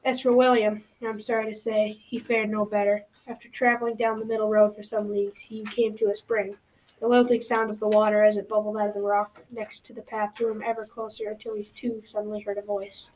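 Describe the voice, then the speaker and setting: A young female student playing a grandfather who tells a folktale in an amateur school radio drama, in a measured storytelling way. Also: mid-range voice, little pitch movement, darkish tone, natural voice, rough recording. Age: child or teen. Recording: faint background noise.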